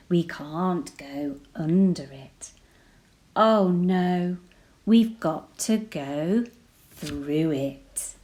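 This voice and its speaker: Woman teacher reading a picture book to kids: very slow, lowish voice, playful, whispers sound words. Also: darkish tone. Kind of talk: expressive read-aloud storytelling for children.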